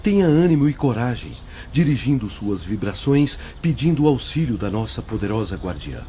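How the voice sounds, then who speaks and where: Man playing a kind spirit guide, mellow voice, gentle and reassuring, dull lo-fi sound, faint hiss. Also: mid-range voice, sing-song, moderate pace, natural voice. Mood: gentle counsel. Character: kind, patient male spirit counselor. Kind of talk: audio drama acting. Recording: dull lo-fi audio with faint noise.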